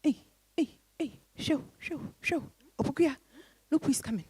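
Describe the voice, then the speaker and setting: A woman's voice: sits high, moderate pace, a little mellow, natural voice. An actress with a Ghanaian accent playing a proud, independent professional woman in a dramatized reading, emphatic and exclaiming. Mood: excited, sociable.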